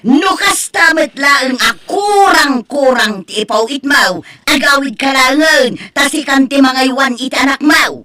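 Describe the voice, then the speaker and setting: Woman playing an Ilocano-accented complaining mother, high and tense. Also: expressive, unhurried, few pauses, sharp tone, no room sound, clean studio sound, exaggerated voice. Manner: demanding, complaining. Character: scolding older mother who gambles, money-hungry. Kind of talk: Ilocano radio-style drama acting.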